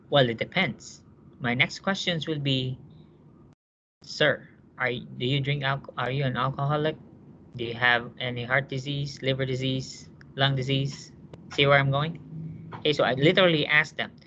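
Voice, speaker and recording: A man's voice: medium pitch, sharp tone, slight background noise. A man teaching a nursing class, walking students through cases with rhetorical questions and checking that they understand. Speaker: nursing instructor. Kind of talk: classroom lecture. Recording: dull lo-fi classroom recording.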